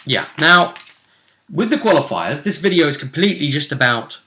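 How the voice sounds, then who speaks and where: Bright-voiced man hosting a chatty discussion. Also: on the high side, animated, moderate pace. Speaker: host and game master. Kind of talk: casual sports-pundit style discussion of a role-play game.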